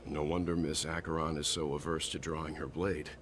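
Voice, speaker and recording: An actor playing a calm older male companion in a game, speaking in a measured, reassuring way. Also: rumbling low, a little hiss or hum. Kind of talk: game voice acting. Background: game music.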